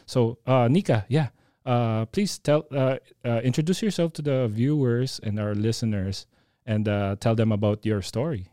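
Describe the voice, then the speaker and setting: Guy hosting, warm mellow voice, lots of uhs, dry close studio mic. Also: medium pitch, normal speed, dry recording. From Philippines. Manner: hesitant, many fillers, addresses viewers as guys. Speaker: podcast host. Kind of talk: casual interview hosting. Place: podcast studio.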